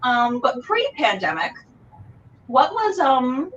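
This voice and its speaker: A woman's voice: sits high, big pitch swings, slow pace, a bit bright, slight background noise. Enthusiastic woman interviewer, distant dull mic. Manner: enthusiastic, reacting with approval. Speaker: host interviewing a theater company. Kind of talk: casual chatty interview. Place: remote video call.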